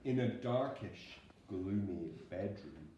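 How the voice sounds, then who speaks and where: Male teacher, storybook narrator: lowish voice, dramatic delivery, heavy room echo, faint background noise. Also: lively intonation, takes it slowly, dark tone, lo-fi sound. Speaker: schoolteacher reading to children. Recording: very echoey room, distant mic, faint noise.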